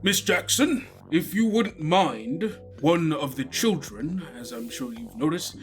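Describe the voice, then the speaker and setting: A man's voice: a little high, lively intonation, takes it slowly, over music. A man voicing a formal, menacing school principal in a strange tabletop-game academy, pompous and officious. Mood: coolly formal.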